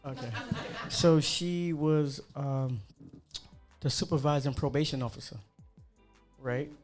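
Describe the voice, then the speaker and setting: A young man from New York, a cook, chatting casually and animatedly with slang and quick asides. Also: a little high, music in the background. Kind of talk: casual chat.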